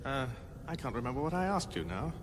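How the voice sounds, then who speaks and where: A man's voice: mid-range voice, big pitch swings, fast, big-room sound, natural voice. An actor playing a bewildered, hapless ordinary man with an English accent in a radio comedy, hesitant and questioning. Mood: baffled, indignant. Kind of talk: radio comedy drama acting.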